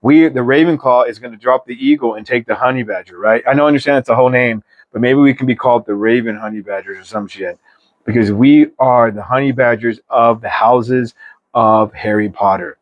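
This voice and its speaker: A man with a mid-pitched voice gives a chatty tarot reading, recorded dry and close. He talks straight to viewers like an emphatic pep talk, loose and slangy, with the odd mild swear. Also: brisk, no echo, highs cut off. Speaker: tarot reader.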